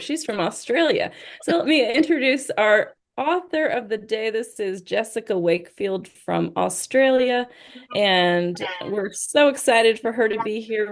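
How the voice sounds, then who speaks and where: A woman with a Midwestern accent hosts a book podcast, upbeat and chatty. Her voice has a noticeable gravelly fry running through it, and her pitch sits right in the middle. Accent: Midwestern American. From rural Indiana, USA. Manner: upbeat and chatty, with frequent laughs. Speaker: podcast co-host. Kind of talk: casual podcast chat.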